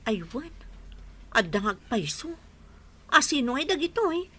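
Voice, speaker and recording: Nosy boastful lady friend in ilocano radio drama, filipino accent, sharp voice that goes up and down a lot. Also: high voice, very animated, normal speed, husky, heavily acted. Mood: flustered, put out. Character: chatty, boastful woman friend angling to be bridesmaid.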